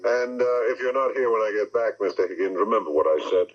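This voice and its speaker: An actor playing a moody, short-tempered husband with a brusque, irritable manner in a 1970s radio drama. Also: male voice, lowish voice, animated, fast talker, faint music behind. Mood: curt, irritated. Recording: dull lo-fi 1979 radio broadcast.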